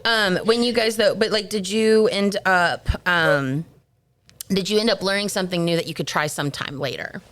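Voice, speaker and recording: A woman's voice: mid-range voice, moderate pace, a bit bright. A woman teacher hosting a casual podcast interview, speaking informally and encouragingly as she prompts with questions.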